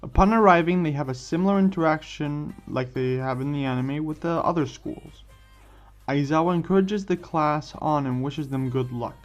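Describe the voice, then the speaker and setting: A man's voice: a little high, moderate pace. A man hosting and narrating a scripted fan story for an online video, stumbling over and repeating words as he reads. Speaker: host and narrator of a what-if story series. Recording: muffled lo-fi voice over background music. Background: music.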